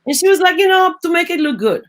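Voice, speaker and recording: A middle-aged woman from Venezuela with a Venezuelan Spanish accent, a native Spanish speaker and biologist, telling stories in a lively interview, acting out quoted voices and often restarting her phrases. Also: extremely high pitch, fast talker. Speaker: guest, biologist. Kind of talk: conversational storytelling interview.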